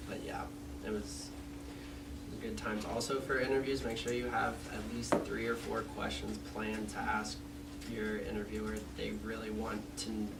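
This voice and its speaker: A man's voice: fairly low pitch, normal speed, vocal fry. A man tells a story about an interview in an easygoing, casual way, and his sentences often fade out toward the end. He sounds far from the mic, with a constant hum behind him. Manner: relaxed anecdotal, trails off quietly. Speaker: student internship panelist. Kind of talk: storytelling on a panel. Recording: distant mic with steady hum.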